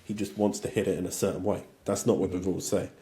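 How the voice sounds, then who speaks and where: A man with a croaky voice from a cold, a guest giving direct, firm opinions in a casual podcast chat. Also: low-pitched, fairly even intonation, quick pace, warm tone.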